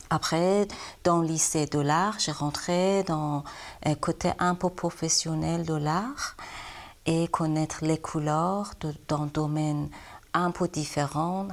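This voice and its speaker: Iranian woman artist, low pitch, talks slow, hunts for words a lot. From Iran. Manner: halting non-native French, searching for words. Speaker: watercolor painter. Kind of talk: interview answers.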